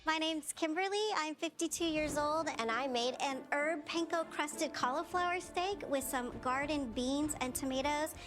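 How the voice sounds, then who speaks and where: A woman in her fifties from California with a squeaky, bubbly voice, talking as a cooking show contestant. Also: moderate pace, few pauses, music in the background. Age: 50s. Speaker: home cook contestant. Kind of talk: reality show talk.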